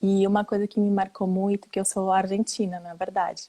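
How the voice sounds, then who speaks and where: Mellow-voiced woman chatting as interview guest. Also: mid-range voice, level tone, brisk. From Brazil, grew up there. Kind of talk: casual live online chat.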